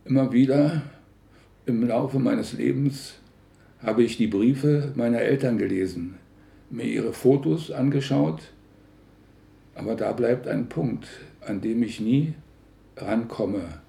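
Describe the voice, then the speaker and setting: Slow, gravelly older man. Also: medium pitch, warm tone, echoey room. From Berlin, Germany. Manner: halting, reflective, broken phrases. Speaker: contemporary witness recounting family history. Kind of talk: personal spoken recollection.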